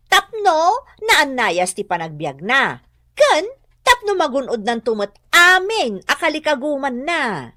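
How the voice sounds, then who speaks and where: A woman's voice: high-pitched, very animated, a little slow, pushed and bright, dry recording, studio quality, exaggerated voice. An actress playing an outspoken, insistent woman in a radio drama, with an Ilocano accent. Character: outspoken, insistent woman arguing with an older mother.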